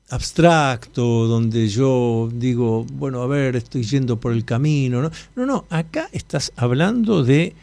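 A man's voice: medium pitch, gravelly, a little mellow, dry recording. Middle-aged Argentine man hosting an interview. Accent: Argentine Spanish. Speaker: interview host. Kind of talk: conversational interview commentary.

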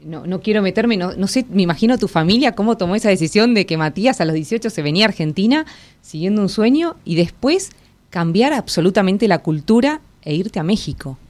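A woman, hosting an interview and asking questions in a halting, prompting way. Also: mid-range voice, expressive, darkish tone. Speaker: host.

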